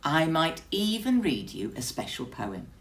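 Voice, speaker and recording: A woman's voice: low voice, sing-song, measured pace, pitched above the performer's own voice. A woman voicing a grumpy, stern male bear in a children's storybook, declaring and scolding as it speaks. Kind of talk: children's storybook read-aloud.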